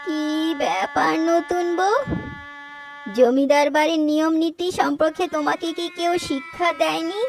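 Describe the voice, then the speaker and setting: A woman acts a stern old lady of a grand house in a cartoon. Her voice is very high and commanding, scolding as she gives orders. Faint noise sits behind it. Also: moderate pace, gravelly. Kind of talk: Bengali cartoon story dialogue.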